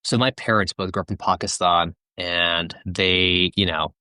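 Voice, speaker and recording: Low-voiced guy from tanzania, founder on a podcast, measured, lots of "you know". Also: steady tone, bright, forceful tone, smooth, no room sound, clean studio sound. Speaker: guest, company founder. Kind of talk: casual interview answers.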